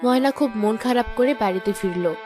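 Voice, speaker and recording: A woman's voice: a little high, fairly quick, pitched below the performer's own voice, close to an everyday voice. A woman giving scripted narration for a cartoon moral story. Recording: music behind the voice.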